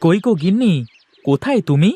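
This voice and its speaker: A man's voice: high-pitched, animated, brisk, mellow. A man voicing a poor, cheerful farmhand and devoted husband in a cartoon, earnest and coaxing. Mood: calling out eagerly. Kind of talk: Bengali cartoon voice acting.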